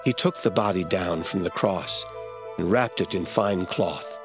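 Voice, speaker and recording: A man narrating scripture as a scripted audio drama storyteller. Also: fairly low pitch.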